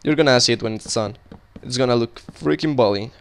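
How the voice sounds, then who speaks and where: A young man with a Colombian Spanish accent talks loosely over his gameplay, drifting between stories and what he is building. His voice is smooth and clear, sitting at an ordinary pitch. He restarts sentences often, in an easygoing, conversational way. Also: brisk, fairly bright tone. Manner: rambling and chatty, talking while playing, frequent restarts. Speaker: gaming video creator. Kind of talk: casual gameplay commentary.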